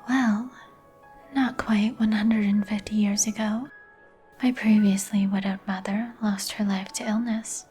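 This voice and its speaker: Casting: actress for somber vampire gentlewoman, whispered and even-toned, courteous antique diction, ASMR roleplay over music. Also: medium pitch, slow pace, pauses often. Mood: sorrowful. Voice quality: whispered, breathy. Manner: formal, old-fashioned, somber phrasing. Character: melancholy, courteous vampire woman, turned young some 150 years ago. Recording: music behind the voice.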